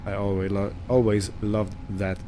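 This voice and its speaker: A man with a dark, relaxed, fairly low voice commentates casually on his own gameplay. He muses to himself without hurry, as if working out each step aloud. A faint steady rumble sits behind him. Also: measured pace. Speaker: gamer narrating own farming simulator playthrough. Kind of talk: casual let's-play commentary, thinking aloud.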